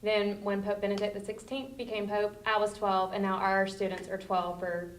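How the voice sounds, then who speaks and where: A woman talks conversationally as an interviewed teacher. Her pitch is middling, and the room rings with echo. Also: level tone, normal speed. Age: 30s. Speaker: sixth grade teacher interviewed for news. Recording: echoey room.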